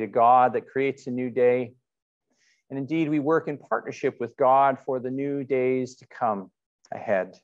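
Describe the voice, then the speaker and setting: A middle-aged man, speaking as a pastor leading worship and prayer in measured, deliberate phrases with pauses. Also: medium pitch, lo-fi sound. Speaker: pastor leading an online church service. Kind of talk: sermon and spoken prayer. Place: indoors in a church, before a painted backdrop.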